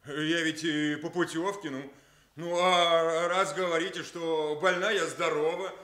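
Bright, brusque male orderly. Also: on the high side, steady tone, unhurried. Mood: placating, flustered. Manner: colloquial, bureaucratic. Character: brusque, officious hospital orderly. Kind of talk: Soviet radio theatre acting.

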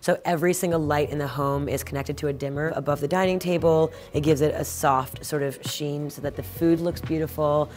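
Homeowner woman, 30s, low, flowing tour chat over music. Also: steady tone, a little fast, few pauses, darkish tone. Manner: enthusiastic, flowing, many fillers like "sort of". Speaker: homeowner giving a house tour. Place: indoor house rooms.

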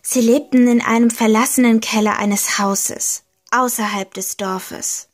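A woman reading a short homemade fairy tale aloud, slow and deliberate, leaning gently into key words. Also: fairly high pitch, steady tone. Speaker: storyteller. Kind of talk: slow storybook narration.

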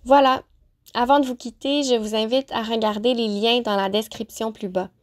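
A woman teacher narrating a lesson, pronouncing example phrases slowly and carefully. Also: fairly high pitch, fairly even intonation. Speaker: French-as-a-second-language teacher. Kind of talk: clear, deliberate vocabulary lesson narration.

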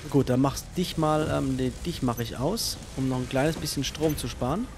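A man's voice: medium pitch, normal speed, background noise. A man giving casual let's play commentary, thinking aloud and chatting to himself and his viewers.

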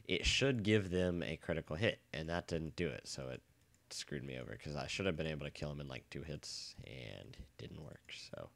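A man's voice: extremely low pitch, normal speed, creaky. Stuffy-nosed young man with a cold. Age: young adult. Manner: rambling, easily excited, chatty. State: has a bad cold. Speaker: let's play gamer. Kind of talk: casual gameplay commentary. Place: dorm room.

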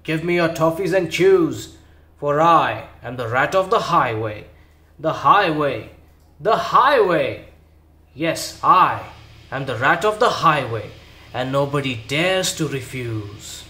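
A man voicing a greedy, bullying male rat highwayman in a children's storybook reading, loud and gloating. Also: lively intonation, slow, echoey room, heavily acted. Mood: bellowing.

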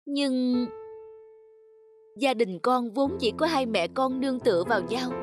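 An actor voicing a devoted, anguished young peasant son in an animated folk tale, earnest and pleading. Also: sits high, measured pace, music in the background.

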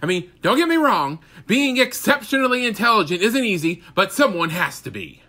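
A man's voice: high voice, very animated, moderate pace, sharp tone, voice pushed up, exaggerated voice. A man playing a smug, arrogant self-proclaimed genius with a conceited, condescending manner.

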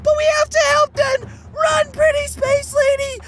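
A man's voice: extremely high pitch, flat delivery, moderate pace, heavy background noise, voice pushed up. A man voicing a naive, timid young dragon, pleading and hesitant, in a cartoon comic dub. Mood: panicked pleading. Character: dim, sweet, timid young male golden dragon head.

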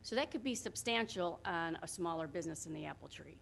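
Brief: woman, property manager, loud plainspoken voice, walks a council through figures on a room mic. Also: lowish voice, animated, brisk, vocal fry, slight background noise. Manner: plainspoken, talks through her figures with frequent "so what I did". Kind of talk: presentation at a town work session. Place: council chambers. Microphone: room microphone.

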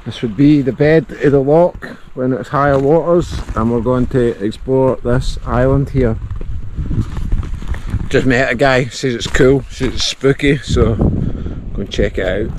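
Outdoor camera audio from a Scottish man in his thirties, cheerful and chatty with easy laughs. He talks to a handheld camera at arm's length in an open glen, and clear background noise runs under his voice. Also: mid-range voice, a little slow. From Scotland. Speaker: vlogger. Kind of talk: casual vlog narration. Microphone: action camera at arm's length.

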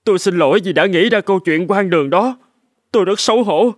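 A man's voice: high-pitched, fairly quick, close to an everyday voice. A man voicing a greedy cartoon schemer who pleads like an ashamed sinner. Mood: ashamed, pleading. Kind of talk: dubbed cartoon morality-tale dialogue.

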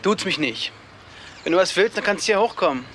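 Hostile young guy, highish voice swinging around, crime audio drama, noisy. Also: animated, fairly quick, fairly bright tone. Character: hostile, dismissive young man, former friend. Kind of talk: German crime radio drama acting.

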